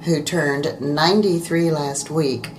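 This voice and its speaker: An older woman from Virginia, a guest on a radio show, chatting in a relaxed way about her life in a low voice. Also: slow, a little hiss or hum, low-quality recording. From Virginia, USA. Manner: relaxed, chatty storytelling with soft laughs. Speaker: interview guest, radio producer. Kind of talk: casual interview chat. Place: radio studio.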